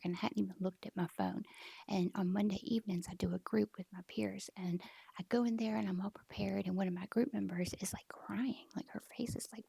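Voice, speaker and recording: Husky, gravelly woman, hushed. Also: medium pitch, dry recording. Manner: rambling, frequent "like", often hushed and half-whispered. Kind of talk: personal storytelling to camera. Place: her office.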